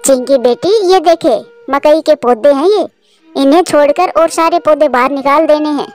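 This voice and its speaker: Woman as a high-voiced gentle mother bird. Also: moderate pace, faint music behind, natural voice. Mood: patient teaching. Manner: patient, instructive. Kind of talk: Hindi children's cartoon voice acting.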